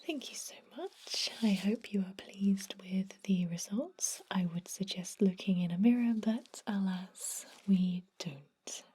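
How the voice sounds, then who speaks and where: A woman voicing a gentle, reassuring vampire dentist in a soft, breathy whisper for an ASMR roleplay. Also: mid-range voice. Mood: gentle, advising. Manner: soothing, reassuring, unhurried.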